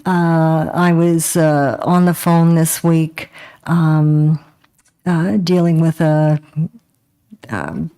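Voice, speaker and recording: A woman councillor giving a hesitant report at a council meeting, full of fillers and pauses. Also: sits low, mellow, clear, resonant tone. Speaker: town councillor.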